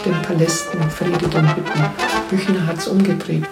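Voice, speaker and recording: A woman's voice: deep voice, flat delivery, normal speed. A woman explaining something off the cuff in a hesitant, repetitive way. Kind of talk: unscripted spoken explanation. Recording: echoey, distant, loud brass music.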